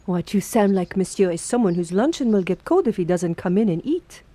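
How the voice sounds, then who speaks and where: A woman's voice: mid-range voice, animated, brisk, mellow, no room sound, natural voice. A woman playing a devoted, deferential French housemaid in an audio drama, polite and attentive. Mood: cheerful, polite. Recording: faint music behind the voice.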